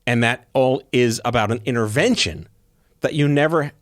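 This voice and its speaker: A man's voice: mid-range voice, moderate pace, dry recording, studio quality. Irreverent joking male podcast host. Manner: irreverent, joking, swears casually. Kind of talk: casual interview chat.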